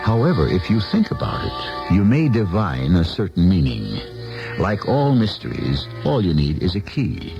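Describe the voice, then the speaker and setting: A man hosts a radio mystery in a low, rough-edged voice. His scripted narration is theatrical, wry and expressive, heard on a muffled old broadcast. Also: normal speed, music in the background. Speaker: radio drama host.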